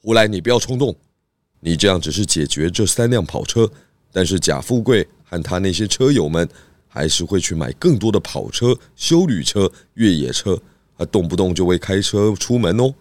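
A clever pig detective, acted by a man with a low voice and a Taiwanese Mandarin accent. He is calm and reasons patiently, in a dry, close recording for a children's radio mystery. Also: normal speed, no echo. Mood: persuasive.

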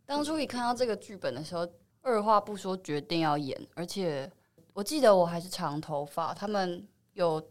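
A woman's voice: fairly low pitch, animated, normal speed. A woman with a Taiwanese Mandarin accent, a film actress answering interview questions in a thoughtful, explanatory way, now and then mixing in English words. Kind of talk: reflective interview chat.

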